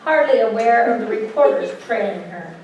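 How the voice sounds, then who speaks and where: A woman's voice: on the high side, lively intonation, moderate pace, darkish tone, muffled recording, slight background noise. A woman reading stage directions as scripted narration at a staged theatre reading. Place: large echoey indoor venue. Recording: echoey hall, distant mic, music.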